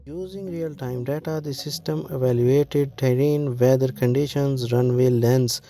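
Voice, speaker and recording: A man's voice: mid-range voice, mellow. A man reading scripted documentary narration as a narrator.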